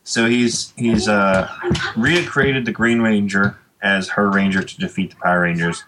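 A man's voice: fairly low pitch, fairly even intonation, unhurried, background noise. A man in his late thirties, a comic book creator chatting casually as a podcast guest, rambling with many fillers. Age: 30s.

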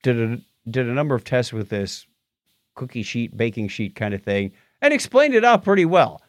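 A man's voice: mid-range voice, no room sound. A middle-aged man chatting through tech news as a podcast co-host. His voice swings up and down with animation, and he trips over tricky words. The sound is dry and close to the mic. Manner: animated, stumbles over hard words, does quick voices in quotes. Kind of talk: casual tech news chat.

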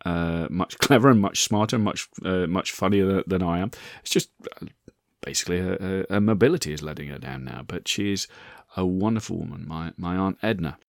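A hoarse man in his sixties, hosting a podcast and telling a rambling personal story with many repeated words. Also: deep voice, fairly quick, no room sound, studio quality. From England. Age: 60s. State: hoarse, croaky voice. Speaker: podcast host. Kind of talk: casual anecdotal monologue.

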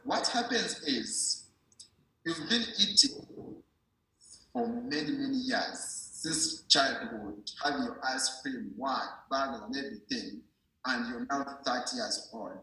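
A man's voice: mid-range voice, lively intonation, takes it slowly, pushed and bright. A man giving an informal medical explanation in a didactic way, repeating himself and checking that the listener understands. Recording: very echoey room.

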